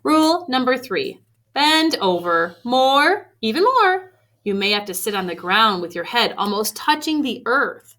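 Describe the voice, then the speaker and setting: A woman reading a picture book aloud to children expressively, with deliberate emphasis on key phrases. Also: on the high side, sing-song, a bit bright. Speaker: read-aloud storyteller for children. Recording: faint background noise.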